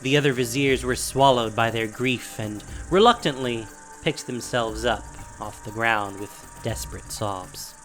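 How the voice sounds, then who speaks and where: A man narrating a scripted fantasy audio drama with measured delivery and dramatic pauses. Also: mid-range voice, fairly bright tone, rough recording. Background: faint music.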